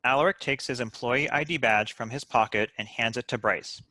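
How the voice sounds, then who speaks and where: A man's voice: mid-range voice, normal speed, sharp tone, dry recording. A man reading stage directions aloud as scripted narration for a comedy script.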